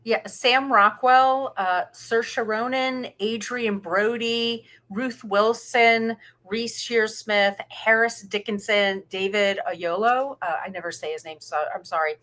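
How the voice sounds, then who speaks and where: A woman's voice: fairly high pitch, fairly even intonation, slow pace, few pauses, a bit bright. A woman co-hosting a podcast, talking casually and conversationally about films. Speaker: podcast co-host. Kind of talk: casual film discussion chat.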